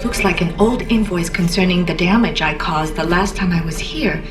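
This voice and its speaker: A woman's voice: fairly low pitch, normal speed, natural voice. A woman voicing a vengeful young psychic woman in a video game, cold, menacing and sardonic, in a roomy, distant recording. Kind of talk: video game character voice acting. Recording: roomy, distant, music underneath.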